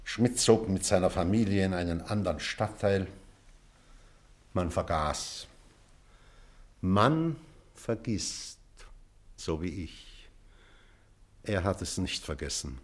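Old male teacher, kinda deep, slow n thoughtful, wry and weary, drama piece. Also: lively intonation. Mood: somber, confiding. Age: older. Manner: deliberate, pensive, measured delivery with weighty pauses. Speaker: actor. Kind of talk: German literary stage drama.

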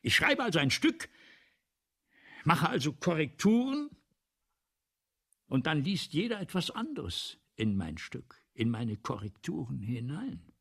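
An imperious, failing man of the theatre in a radio drama. His voice is badly rasping and creaky, and it lurches from muttered asides to theatrical tirades. He is vain, agitated and rambling, with very lively pitch. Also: a little slow, long pauses, full character voice. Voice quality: husky, gravelly. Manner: theatrical, erratic, swinging from muttering to outbursts. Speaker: radio play actor. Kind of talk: 1970s German radio play acting.

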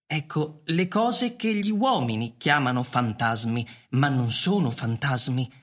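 Give the robot butler an unhurried, slightly husky delivery. It is ancient, loyal and wistful, formal and deferential in a radio play, with music underneath. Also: medium pitch, lively intonation. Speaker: actor in a radio drama. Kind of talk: Italian radio theatre acting.

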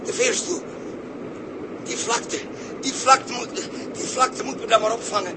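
An actor playing a lone, dazed but dutiful male astronaut, halting and repeating phrases in a 1960s Dutch radio drama monologue. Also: very high-pitched, fairly even intonation. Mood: dazed despair. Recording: noisy early-1960s radio drama recording.

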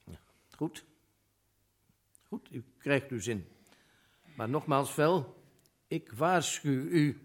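An actor playing a strict, formal prison colonel in a radio drama, speaking by the book. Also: male voice, mid-range voice, lively intonation, takes it slowly, long pauses. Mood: stiff. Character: strict rule-bound male prison colonel.

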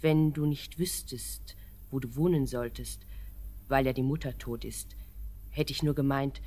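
Low-voiced, devoted young woman. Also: mellow. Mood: tender, hesitant. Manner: theatrical, measured verse delivery. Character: devoted, proud young woman pleading with troubled lover. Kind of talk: classic German radio drama acting.

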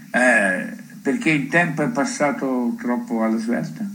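A man speaks in a reflective, literary way at a middling pitch, feeling his way through rhetorical questions with many hesitations. There is audible noise and ambience around his voice. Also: bright, forceful tone. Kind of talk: reflective, unscripted musing on writing. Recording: clearly noisy recording with ambient sound.

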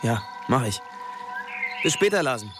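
An actor playing a shaken, subdued investigator in naturalistic crime radio drama dialogue, talking matter-of-factly. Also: male voice, mid-range voice, big pitch swings, moderate pace, over loud music, natural voice. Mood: troubled, subdued. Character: shaken male colleague of a dead policeman.

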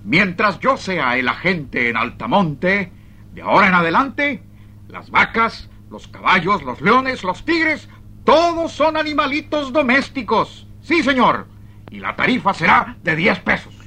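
A man acting a stubborn, exasperated railway freight agent in a theatrical, emphatic radio drama style, with a husky voice. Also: high-pitched, big pitch swings, a little slow, sharp tone, full character voice. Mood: defiant. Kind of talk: 1980s Spanish-language radio drama acting.

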